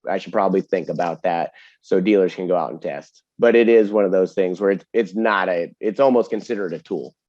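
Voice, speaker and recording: A man's voice: sits low, a little fast, a little mellow, dry recording, dull audio. A man explaining technical details in a casual webinar Q&A, often checking for agreement as he goes. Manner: explanatory, often seeks agreement with tag questions. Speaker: webinar host and distributor product expert.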